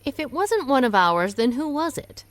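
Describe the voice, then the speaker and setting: A cool-headed, shrewd woman with a dry, wry way of talking and a lively up-and-down tone. A woman acts her out close to the mic with no room sound. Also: high voice, normal speed, no echo. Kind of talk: amateur radio play acting.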